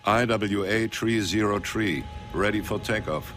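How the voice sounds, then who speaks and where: A man acting a calm airline pilot in a radio drama, talking in clipped aviation radio phrases. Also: fairly low pitch, measured pace, natural voice. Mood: businesslike. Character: male airline pilot calling air traffic control, calm. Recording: radio transmission under loud music.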